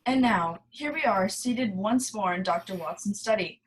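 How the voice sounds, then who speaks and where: An old-time radio announcer opens the show with formal delivery, played by a woman. The voice sits at a middle pitch over a faint noise. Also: moderate pace, close to an everyday voice.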